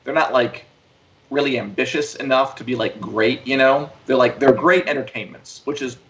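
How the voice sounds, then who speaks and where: A man's voice: medium pitch, moderate pace, a bit bright, slight background noise. A man hosting a live entertainment show, chatting casually and giving opinions in a rambling way peppered with "like". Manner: rambling, heavy use of "like". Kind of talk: casual chat, opinion on pop culture. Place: remote video call livestream. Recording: dull lo-fi livestream call audio.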